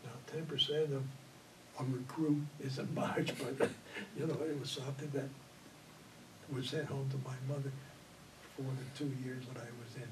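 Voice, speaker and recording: Older male veteran interviewee, rambling storyteller, mic set back with steady background noise. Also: medium pitch, normal speed, long pauses. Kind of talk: oral history recollection. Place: indoor studio before green backdrop. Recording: distant mic, audible room noise.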